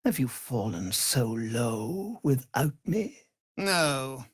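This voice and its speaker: An actor playing a cruel, imperious aristocratic vampire master in a video game, speaking with theatrical contempt and menace, savoring every word. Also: male voice, medium pitch, sing-song, extremely slow, slightly rough, no room sound, studio quality, heavily acted. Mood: disdainful. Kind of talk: dramatic video game villain acting.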